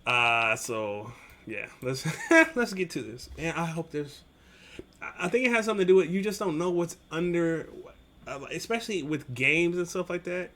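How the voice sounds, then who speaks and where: A man's voice: on the high side, expressive, moderate pace, pushed and bright. A man hosting a reaction video, talking casually and directly to viewers in informal, slangy speech.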